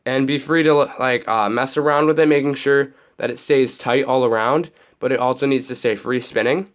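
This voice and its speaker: A young man with a slightly raspy voice, casually demonstrating a build step by step, rambling with restarts and fillers. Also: mid-range voice, steady tone, normal speed. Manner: loose, rambling explanations with many restarts and fillers. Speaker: hobby video host.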